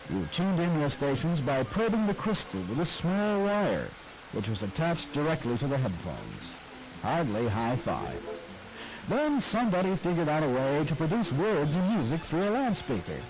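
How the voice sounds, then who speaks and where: A man narrates in a rather high voice that rises and falls with real animation. His delivery is scripted and nostalgic, like a host telling a story. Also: a little mellow, poor audio. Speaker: radio history host.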